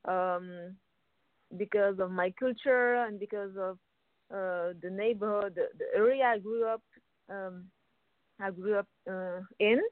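A woman's voice: medium pitch, no echo. Hesitant French woman on a phone line. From France. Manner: hesitant, searching for words, many restarts. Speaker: violinist guest. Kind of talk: phone interview. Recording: tinny phone line, dry.

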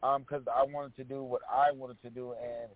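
A man with a raspy voice, a rapper guest, telling stories in a relaxed, casual way with lots of fillers. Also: mid-range voice, normal speed, no room sound. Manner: relaxed storytelling with many fillers. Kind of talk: casual interview chat. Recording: tinny phone-line sound.

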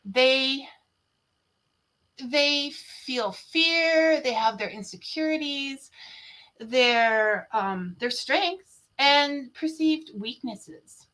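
A woman interviewer who asks slow, measured questions and laughs easily. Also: high-pitched, animated, sharp tone.

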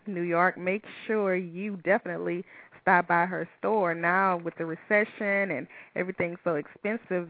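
A woman's voice: lowish voice, vocal fry, no echo. A woman hosting a talk show, introducing guests and asking interview questions. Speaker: host. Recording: muffled, slightly lo-fi, dry audio.

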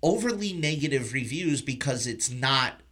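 Male podcast host, casual film talk, informal and emphatic, sharp bright tone. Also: mid-range voice, lively intonation, moderate pace.

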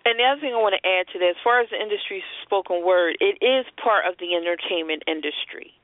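A woman co-host talks through advice in a firm, explanatory, conversational way. Her voice sits at an average pitch and arrives dry and narrow, as if over a telephone. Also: fast, no room sound. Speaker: co-host of a spoken word business show. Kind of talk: conversational advice on a call-in show. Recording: thin telephone-line sound.

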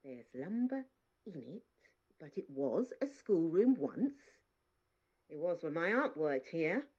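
A woman's voice: deep voice, sing-song, takes it slowly, darkish tone, slightly rough, exaggerated voice. A woman voicing a good-natured, talkative maid who teases and scolds, in a dull lo-fi recording. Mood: triumphant. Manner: bossy, teasing, talkative.

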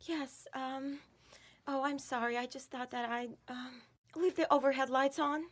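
A woman's voice: sits high, fairly even intonation, measured pace. A woman playing an anxious, timid young woman in a radio play, speaking hesitantly and fearfully. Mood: nervous, fearful.

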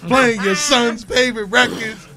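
A man hosting a casual sports talk show, loose and slangy, quick to laugh. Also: extremely high pitch. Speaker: show host.

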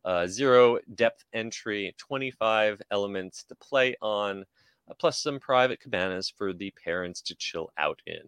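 A man co-hosting a podcast, going through theme park news informally, with a somewhat halting delivery. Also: fairly low pitch, fairly bright tone, clean tone, no room sound. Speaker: podcast co-host.

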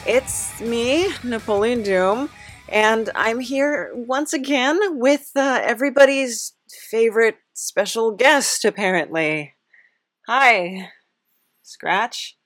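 A woman's voice: on the high side, slow pace, fairly bright tone, no room sound, faint music behind. A woman hosting a casual comic podcast interview in a hesitant, awkwardly chirpy, giggly manner. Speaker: podcast host.

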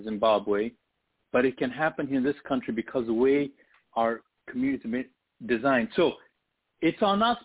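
A man from Zambia co-hosting a live radio talk show, speaking emphatically and repeating words as he builds his points. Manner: emphatic, repeats words, breaks into high mimicry. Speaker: radio co-host. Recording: tinny phone-like line.

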